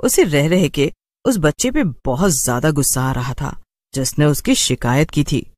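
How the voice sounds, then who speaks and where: A man's voice: on the high side, animated, measured pace, darkish tone, no echo. A man narrating a scripted children's horror story as a storyteller.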